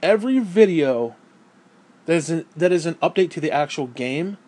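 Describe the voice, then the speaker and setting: A hoarse man losing his voice, blunt and swearing a lot as he rants about a game. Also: on the high side, expressive, normal speed, darkish tone. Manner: profane, blunt and heated. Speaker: gaming vlogger. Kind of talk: angry opinion rant.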